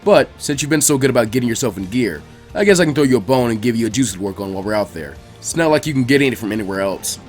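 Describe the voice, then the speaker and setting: A man's voice: mid-range voice, lively intonation, very fast, soft background music, pitched below the performer's own voice, lightly acted. A man voicing a laid-back, wry adult ninja teacher in an anime story, talking dryly and without hurry. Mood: wry, calm.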